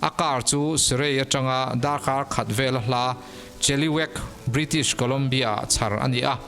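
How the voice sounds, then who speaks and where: Reverberant male storyteller, lower-pitched voice. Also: steady tone, moderate pace, a bit bright. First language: Mizo. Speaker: narrator of mystery case stories. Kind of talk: scripted storytelling narration. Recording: long reverb, music underneath. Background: background music.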